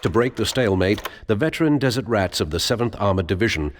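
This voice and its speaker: A man narrating military history in a measured, grave, formal documentary style for a game. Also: fairly low pitch, lively intonation, lightly acted. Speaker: narrator of war history briefings.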